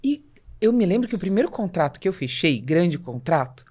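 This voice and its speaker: A Brazilian woman hosting a podcast interview, telling personal anecdotes in an animated way and acting out dialogue. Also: fairly low pitch, fairly quick. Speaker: podcast host and business coach.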